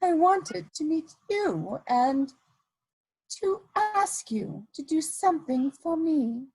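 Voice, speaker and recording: A woman's voice: sits high, big pitch swings, slow pace, a little mellow, a little hiss or hum, full character voice, poor audio. A woman playing a witty, scheming society lady in a period stage comedy, speaking in an arch, coy, polished way. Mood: coy, teasing.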